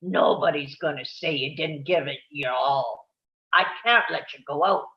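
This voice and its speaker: Gruff, anxious cornerman read by a man, commanding, clipped phrases, muffled lo-fi audio. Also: a little high, unhurried. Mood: worried. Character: gruff, worried male boxing cornerman. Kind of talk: table read acting of a film script.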